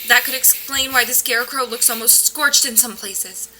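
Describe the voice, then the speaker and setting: Female paranormal investigator, skeptical and wry, higher-pitched, very crisp bright tone, audio drama. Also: normal speed, a little hiss or hum, low-quality recording. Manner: dry, joking, matter-of-fact. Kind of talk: naturalistic audio drama acting.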